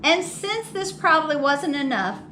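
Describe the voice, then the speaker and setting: High, bright woman storyteller, slow and expressive. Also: a little hiss or hum. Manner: expressive, theatrical, with mock exasperation and drawn-out words. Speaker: storytime host at a public library. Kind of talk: animated read-aloud of a children's picture book.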